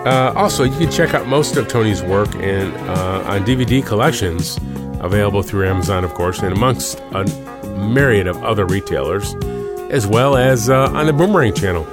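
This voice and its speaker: A man's voice: fairly low pitch, animated, normal speed, over loud music. A man, hosting and signing off a podcast, rambling and joking as he goes. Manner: rambling, self-interrupting, jokey. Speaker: podcast host. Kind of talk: casual podcast sign-off.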